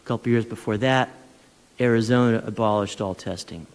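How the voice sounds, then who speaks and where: A man testifying at a legislative hearing, speaking in a measured, persuasive way with small restarts. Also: lowish voice, fairly even intonation. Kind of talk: formal testimony. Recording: long reverb of a large hall.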